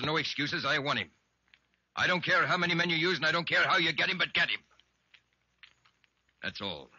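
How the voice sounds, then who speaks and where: An actor playing a furious, hard-driving police chief in an old radio crime drama, with clipped, emphatic delivery. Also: male voice, mid-range voice, pushed and bright, husky, full character voice. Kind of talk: 1940s-50s radio crime drama acting. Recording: muffled old radio recording, hissy.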